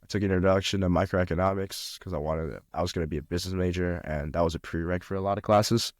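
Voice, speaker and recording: A man's voice: low-pitched, fairly even intonation, fast, no echo, clean studio sound. A young man from China, a podcast guest chatting casually about college life, with lots of fillers and restarts. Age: young adult. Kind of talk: casual conversation.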